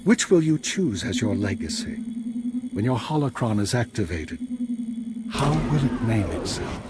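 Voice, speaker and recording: A solemn seer Jedi master over loud ambience. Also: male voice, on the low side, big pitch swings, a little slow. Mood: solemn, prophetic. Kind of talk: scripted video game dialogue. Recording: loud background ambience noise.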